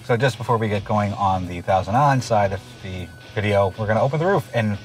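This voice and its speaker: A man of forty-five, co-hosting a car review from a small convertible, relaxed and joking. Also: lowish voice, fast, faint music behind. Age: 40s. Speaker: co-host of a car review show. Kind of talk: casual car review chat. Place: inside a small convertible car, often roof down. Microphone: dash-mounted camera mic. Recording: loud road and wind noise in a moving car. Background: loud road and wind noise.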